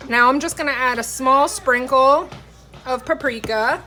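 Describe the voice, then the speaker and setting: A woman's voice: high-pitched, measured pace, pushed and bright, faint music behind. A woman in her thirties giving chatty, explanatory recipe talk in a casual vlog from her home kitchen. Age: 30s. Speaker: cooking vlogger. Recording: faint background noise in kitchen.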